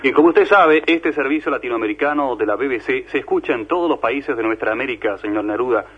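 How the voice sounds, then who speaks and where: A man with a husky voice speaking as a formal radio interviewer in a ceremonious, courteous way. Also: mid-range voice, fast talker. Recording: dull old radio recording.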